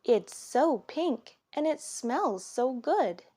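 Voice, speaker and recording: High, eager storybook child, done by a woman. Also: sing-song, takes it slowly, warm tone, husky, heavily acted. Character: young storybook child, innocent and protesting.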